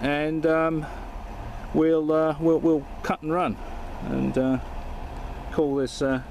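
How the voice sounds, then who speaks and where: A man's voice: a little high, little pitch movement, takes it slowly, darkish tone, slight background noise. A man talking casually and jokily as an amateur radio operator and video host, recorded inside a car. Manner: jokey, matey, informal. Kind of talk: casual radio chat and vlog narration.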